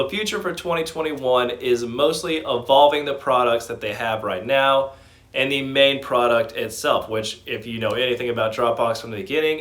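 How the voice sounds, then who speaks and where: A man's voice: mid-range voice, fairly bright tone. Enthusiastic man giving informal stock commentary. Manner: enthusiastic, emphatic. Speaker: host of a stock commentary channel.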